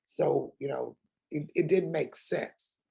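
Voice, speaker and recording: Interview guest: woman, raspy and husky, emphatic and direct. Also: sits low, takes it slowly, mellow. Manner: emphatic, asks pointed rhetorical questions. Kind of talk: candid conversational interview.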